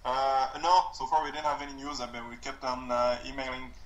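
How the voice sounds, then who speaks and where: A male student giving a halting, informal project update over a conference call. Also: medium pitch, flat delivery. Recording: echoey, noisy conference call line.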